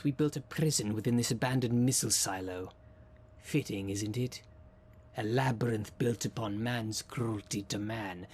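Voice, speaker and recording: A man's voice: medium pitch, slightly rough. A man playing a theatrical demon caretaker in an audio roleplay, formal and grandiose, with a dry sardonic salesman's manner. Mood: darkly relishing. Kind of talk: scripted audio roleplay, addressing the listener.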